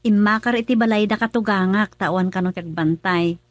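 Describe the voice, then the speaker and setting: A woman's voice: medium pitch, moderate pace, no room sound, natural voice. A woman with an Ilocano accent playing an earnest young wife in a radio drama. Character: young wife worried about her family.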